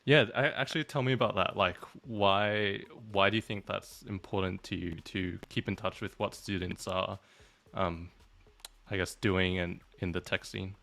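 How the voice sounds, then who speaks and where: Young Malaysian-born male host, low voice, loose and chatty. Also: fairly even intonation, normal speed, creaky, sharp tone. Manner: chatty, filler-heavy. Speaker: podcast host. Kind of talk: casual podcast interview.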